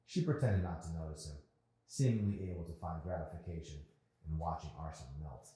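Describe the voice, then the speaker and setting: A man's voice: rumbling low, fairly quick, dark tone. A man reading a novel aloud as an audiobook narrator. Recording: very echoey, reverberant room.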